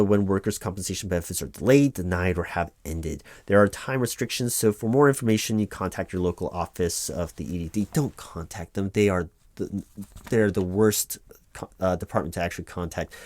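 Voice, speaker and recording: A man's voice: lowish voice, a little mellow, no room sound, lightly acted. A man playing a reassuring, rambling office helper who talks through paperwork in a spoken-aloud ASMR roleplay. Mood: official, instructive. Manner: rambling, hesitant asides with stammered repeats, reassuring. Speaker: roleplay performer playing a workers' compensation claims helper. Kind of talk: loud-spoken ASMR roleplay, reading forms aloud with ad-libbed asides.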